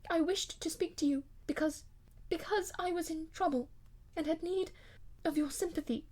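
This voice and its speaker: A woman's voice: very high voice, normal speed, darkish tone, pitched above the performer's own voice. Woman voicing a pleading, faltering young noblewoman. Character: distressed young noblewoman pleading with her husband.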